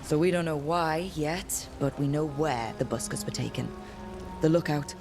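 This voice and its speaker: A woman's voice: low voice, moderate pace, over music. A woman voicing a cool, observant detective in a fantasy video game, thinking aloud in a terse, matter-of-fact way. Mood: focused, deducing.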